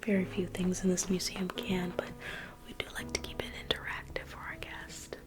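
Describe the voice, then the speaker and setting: A woman in an ASMR roleplay, playing a private museum guide in a slow, coaxing whisper that repeats phrases. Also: completely flat delivery. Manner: coaxing sales-pitch tone.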